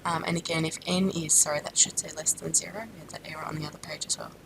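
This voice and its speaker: A woman's voice: low voice, fairly even intonation, fast, creaky, noisy background. A woman from Australia teaching a maths lesson with a blocked-up nose from a sinus infection, explaining steadily with frequent ums and self-corrections. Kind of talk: recorded classroom lesson.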